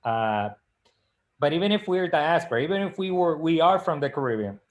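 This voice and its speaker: A middle-aged man from the Dominican Republic, co-hosting a casual video-call discussion, speaking haltingly with many fillers and restarts. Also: fairly high pitch, expressive. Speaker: librarian and co-host. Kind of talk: casual live-stream discussion.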